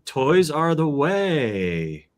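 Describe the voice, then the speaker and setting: A man's voice: a little high, sing-song, very slow. A man hosting a casual livestream over a video call, friendly and rambling as he greets guests and reads out names. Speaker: host.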